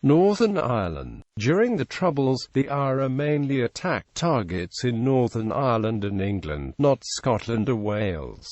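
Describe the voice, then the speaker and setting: A man's voice reading an encyclopedia article aloud in an even, flat text-to-speech delivery. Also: medium pitch, no echo.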